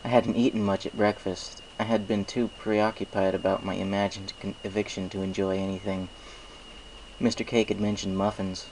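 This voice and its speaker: A man's voice: lowish voice, flat delivery, normal speed, darkish tone, a little hiss or hum, rough recording. A man reading scripted first-person fan fiction as its narrator.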